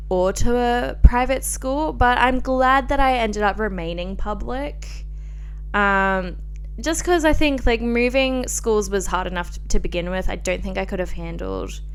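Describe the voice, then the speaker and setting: A woman's voice: mid-range voice, a little hiss or hum. A young Australian woman hosting a solo podcast, chatting in an informal, confiding and rambling way peppered with "like". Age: young adult. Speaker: podcast host.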